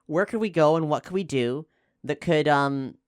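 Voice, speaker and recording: Smooth-voiced American man thinking aloud. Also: on the high side, normal speed, no echo, studio quality. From Florida, United States. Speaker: actual play podcast player and co-host. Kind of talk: casual explanatory discussion.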